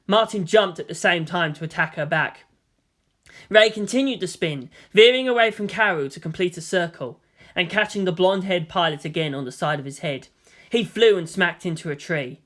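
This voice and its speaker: A narrator reads action fiction aloud with dramatic delivery. The voice sits at a medium pitch and has a bright, tense, forceful edge. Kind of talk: dramatic scripted story narration.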